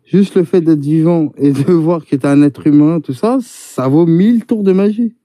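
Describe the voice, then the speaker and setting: A man's voice: on the high side, moderate pace, mellow, no room sound. A man from Paris, arguing insistently in a heated casual debate and talking over the others.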